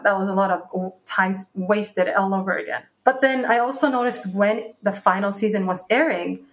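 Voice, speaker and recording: Chatty young woman startup founder. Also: medium pitch. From Sweden. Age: young adult. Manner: chatty, restarts words, acts out dialogue. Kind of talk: casual interview answers.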